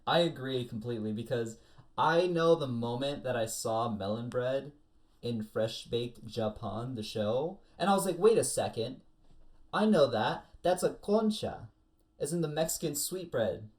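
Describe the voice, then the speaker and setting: A man with a mid-pitched voice talks casually as a podcast co-host. He explains his opinions in a loose, rambling flow, as in a chat among friends. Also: measured pace. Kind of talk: casual discussion of food anime.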